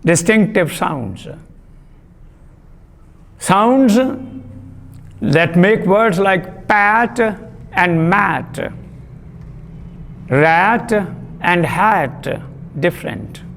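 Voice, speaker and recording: A man giving a classroom lecture on literary theory, deliberate and repetitive, pausing with fillers. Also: high-pitched, expressive, vocal fry, a bit bright, long reverb tail. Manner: deliberate, repeats key terms, frequent uh fillers.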